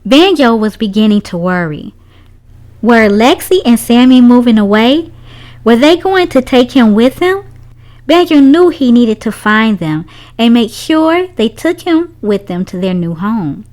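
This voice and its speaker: A woman narrates a children's storybook in a fairly high voice. Her pitch moves up and down in a very lively way, and her delivery is expressive, shaped for young listeners. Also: unhurried. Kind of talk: read-aloud story narration.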